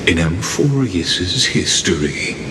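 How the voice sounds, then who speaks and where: An actor playing a solemn, enigmatic storyteller in a game, with measured, formal, theatrical narration. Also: male voice, low-pitched, lively intonation. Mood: solemn, mysterious. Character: deep, cryptic old-sounding male storyteller, slow and solemn. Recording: cavernous echo, long reverb, background noise.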